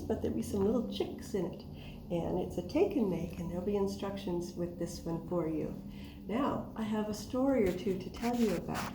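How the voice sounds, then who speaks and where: A woman hosting a library story time, reading a picture book aloud to small children in an animated, sing-song, questioning way. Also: medium pitch. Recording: roomy echo, faint background noise.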